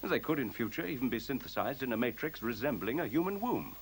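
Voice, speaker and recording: An actor playing a self-assured, courteous computer in a radio play, speaking in articulate, formal, precisely lecturing phrases. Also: male voice, mid-range voice, sing-song, fast. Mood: measured, explanatory. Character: self-assured, courteous sentient computer, male-voiced, coolly superior. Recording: faint tape hiss.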